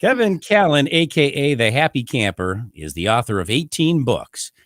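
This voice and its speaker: A man's voice: mid-range voice, big pitch swings, normal speed, fairly bright tone, no room sound. A man from the United States hosting a casual podcast, joking and laughing often. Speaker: podcast host.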